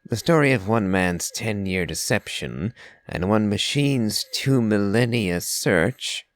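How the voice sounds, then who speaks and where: Very deep-voiced man, slow, animated commentary. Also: dry recording. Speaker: game streamer. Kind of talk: reading game text aloud and casual commentary.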